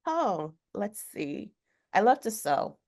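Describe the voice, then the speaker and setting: A woman author speaking on a video call, a little hoarse from a cold, talking thoughtfully with some fillers. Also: mid-range voice, big pitch swings, slow, darkish tone. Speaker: author guest. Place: home room with sewing machine. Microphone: video call webcam.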